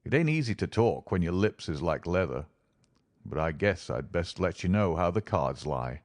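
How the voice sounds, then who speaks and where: A man doing a weary, gentle frontiersman. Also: deep voice, very animated, dry recording, studio quality. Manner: rough frontier dialect, tender and slow.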